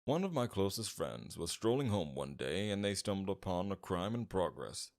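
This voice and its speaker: A very deep-voiced, smug mob boss played by a man in an audio roleplay. He talks with heavy fry, suave and teasing, flirting freely while keeping a menacing edge beneath it. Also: big pitch swings, darkish tone, heavily acted. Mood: businesslike. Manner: teasing, suave, drawn-out flirtation with veiled threats. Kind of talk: ASMR roleplay, scripted character acting.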